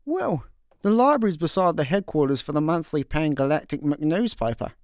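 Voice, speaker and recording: A man's voice: a little high, animated, normal speed, dry recording, studio quality. A man playing an easygoing, slightly more sensible slacker in a comedy sci-fi radio play, casual and hesitant. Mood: pondering.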